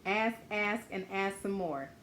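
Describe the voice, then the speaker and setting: Female story-time host, mid-pitched, encouraging and direct with kids, some background noise. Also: unhurried, a bit bright. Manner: encouraging, speaking simply and directly to children. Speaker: children's story-time host reading a picture book aloud. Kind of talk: read-aloud with encouraging asides to children.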